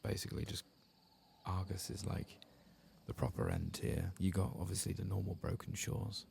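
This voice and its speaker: A soft-spoken man giving ASMR video game commentary, hushed and often drifting into a whisper. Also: deep voice, very animated, normal speed, gravelly, slight background noise.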